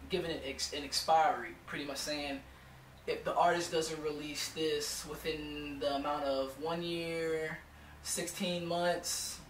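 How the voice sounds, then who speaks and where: Hoarse young man vlogging from afar. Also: on the high side, a bit bright, roomy sound, far from the mic, a little hiss or hum, rough recording. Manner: blunt, swears freely, lots of "you know what I'm saying". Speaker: music producer vlogger. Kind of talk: casual advice vlog. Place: home music studio room.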